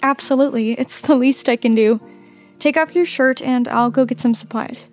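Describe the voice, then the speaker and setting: An actress playing a caring, calm, world-weary nurse in a wartime audio drama. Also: female voice, sits high, normal speed, faint music behind, lightly acted. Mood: kindly.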